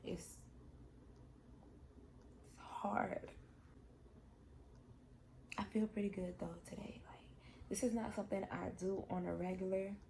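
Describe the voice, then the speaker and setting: Twenty-something girl vlogging, relaxed warm tone with lots of fry, rambly and sincere, says stuff twice. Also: mid-range voice, takes it slowly, long pauses, slight background noise, poor audio. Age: 20s. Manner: rambling, heartfelt, repeats herself. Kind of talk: casual vlog chat.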